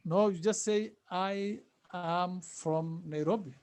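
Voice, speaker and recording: High-voiced man teaching Arabic. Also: slow, a little mellow. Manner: patient, repeats phrases, asks students questions. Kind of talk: online class teaching.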